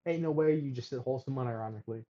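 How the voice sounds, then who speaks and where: A man's voice: medium pitch, very fast, mellow, muffled recording. A man co-hosting a show reaction, teasing his friend in slangy, casual talk and laughing a lot. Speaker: co-host of a reaction channel.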